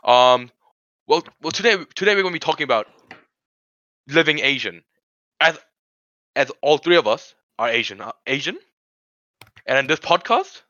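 A man's voice: fairly high pitch, animated, normal speed, long pauses, sharp tone, no echo. A teenage boy hosting a casual podcast chat, rambling with lots of restarts and filler words. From Korean family, grew up in Sri Lanka. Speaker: podcast host. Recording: dull lo-fi recording.

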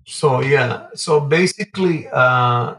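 A man answering interview questions in a halting way, often pausing mid-phrase. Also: medium pitch, fairly bright tone. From Guatemala and Venezuela. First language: Spanish. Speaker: interview guest, entrepreneur. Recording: roomy echo, distant from mic.

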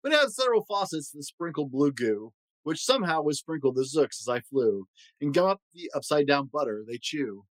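A man reading a rhyming children's story aloud in an expressive way. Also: fairly high pitch, fairly quick, no echo, poor audio. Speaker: storybook reader.

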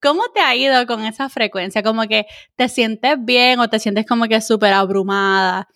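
Woman, Puerto Rican accent, slightly high voice, dry close sound, encouraging, conversational interviewer, some English slang. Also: moderate pace, fairly bright tone, no room sound. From Puerto Rico. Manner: encouraging, chatty, peppered with English words. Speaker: interviewer, host.

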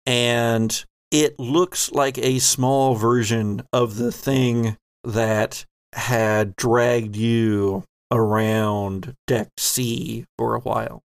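A man narrating a tabletop role-playing game as game master in a deliberate, descriptive way. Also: mid-range voice, steady tone, gravelly, smooth, no echo, studio quality.

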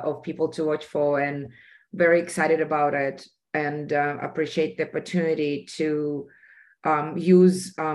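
A woman's voice: deep voice, vocal fry, a little mellow. A woman who is a native Russian speaker with a Russian accent, talking earnestly and emphatically as a guest in a conversational interview, repeating phrases for emphasis. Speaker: podcast guest, entrepreneur.

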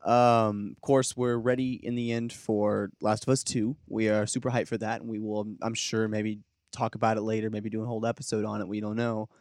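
A man's voice: on the low side, flat delivery, brisk, few pauses, darkish tone, no echo, clean studio sound. A young man co-hosting a podcast in casual chat, agreeable and easygoing. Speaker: podcast co-host.

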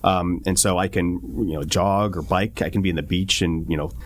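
Deep-voiced, quick man from Southern California, halting restarts, studio guest. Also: fairly even intonation. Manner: halting, repeats words at the start of phrases. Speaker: lawyer and guest. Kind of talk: casual interview chat.

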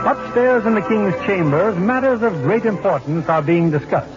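A man's voice: high voice, lively intonation, moderate pace. A man hosting and narrating a 1940s radio show in a formal, showmanlike scripted style. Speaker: producer and host narrator. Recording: muffled 1940s radio broadcast with music.

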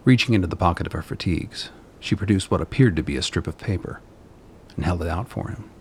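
A man narrating a dystopian radio play as a sombre, deliberate storyteller. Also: low-pitched, animated, slight background noise.

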